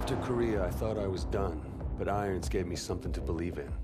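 A male actor as a young soldier narrating a game story. The voice is deep and low, earnest and reflective, measured in delivery. Loud music plays beneath it. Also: natural voice. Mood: reflective, resolute.

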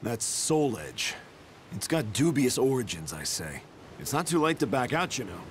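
An actor playing a wary, grumbling pirate sidekick with a dry, skeptical manner in a video game. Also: male voice, medium pitch, big pitch swings, moderate pace, a little hiss or hum, natural voice. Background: music.

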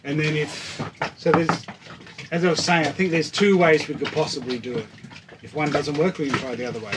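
A man in his thirties with a slightly high voice talks casually, walking listeners through a plan step by step. He is picked up by a camera outdoors on a boat, with clear background noise and clicks. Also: fairly even intonation, fairly quick. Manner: hands-on step-by-step explaining. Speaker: wakeboarder planning a stunt. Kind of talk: casual chat, explaining a plan. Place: open deck of an anchored catamaran, around a table. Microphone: camera a few feet away.

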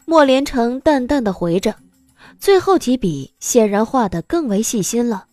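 Female audiobook narrator, high and animated, expressive romance storytelling, close dry sound. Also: normal speed, dry recording, soft background music.

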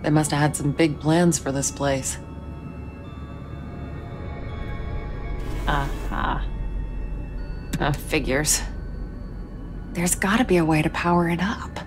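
A woman playing a calm, caring, wry investigator who senses spirits, in measured video game dialogue and narration. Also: low-pitched, music in the background. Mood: musing, curious. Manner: measured, musing to herself.

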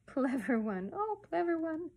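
A woman's voice: a little high, big pitch swings, moderate pace. A woman voicing a fond, fussing mother, gushing and crooning, in a children's storybook reading. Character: fond, fussing, cooing mother of baby twins.

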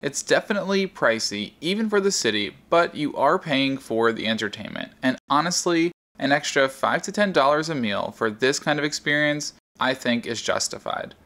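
A man co-hosting a vlog, narrating a measured restaurant review. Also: mid-range voice, animated, a bit bright. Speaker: vlog co-host.

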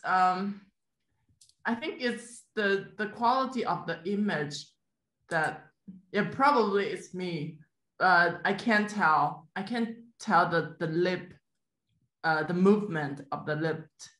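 A woman's voice: fairly low pitch, slow, a bit bright, reverberant, poor audio. A young woman from China answering as a panelist over a video call, hesitant and laughing nervously between phrases. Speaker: panelist, film scholar. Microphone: webcam on a video call.

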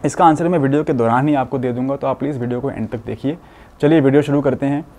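Young man, doctor explaining to camera, earnest, warm and mellow, seated with a lapel mic in a quiet room. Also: medium pitch, slight background noise. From India. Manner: earnest, explanatory, mixes English medical terms into speech. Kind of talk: explanatory health talk to camera. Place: furnished studio room with curtains, indoors.

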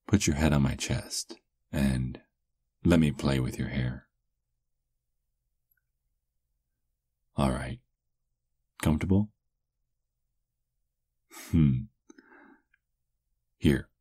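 An extremely deep-voiced vampire lover acted by a man, doting and playfully possessive. He murmurs very slowly and intimately in an ASMR roleplay. The sound is close and dry. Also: husky, no echo, full character voice. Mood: adoring. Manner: slow, intimate murmuring with soft chuckles. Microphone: close microphone, dry.

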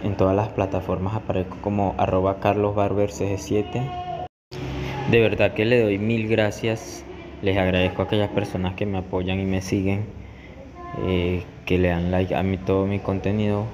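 Guy doing a barber tutorial, deep flat voice, explaining step by step, echoey noisy recording. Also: normal speed. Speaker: barber teaching a haircut tutorial. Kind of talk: instructional voice-over tutorial. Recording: echoey room with clear background noise.

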